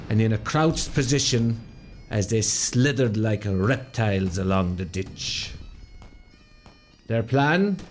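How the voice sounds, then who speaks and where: An actor playing a proud rebel veteran, giving measured, scripted storytelling narration in a radio play. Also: male voice, mid-range voice, darkish tone, close to an everyday voice. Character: proud Irish rebel veteran recounting a battle.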